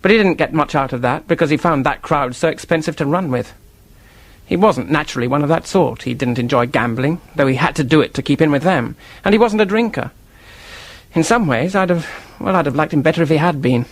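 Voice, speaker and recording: An actor playing an earnest, defensive office worker in a radio mystery, husky-voiced, hesitant and blurting, with a temper underneath. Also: male voice, a little high, fairly quick, dry recording. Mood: frank, earnest. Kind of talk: radio drama acting, detective mystery.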